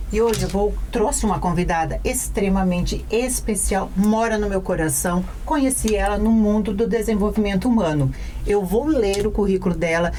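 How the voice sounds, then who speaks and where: A woman's voice: mid-range voice, darkish tone, slight background noise. A woman hosting a business podcast, chatty and affectionate as she introduces and interviews her guest. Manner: affectionate, chatty, self-deprecating. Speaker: podcast host.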